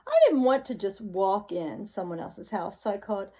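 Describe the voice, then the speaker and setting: A woman voicing a chatty, self-excusing male wolf from a children's picture book, with exaggerated, drawn-out emphasis. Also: mid-range voice, lively intonation, mellow, breathy edge. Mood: animated storytelling.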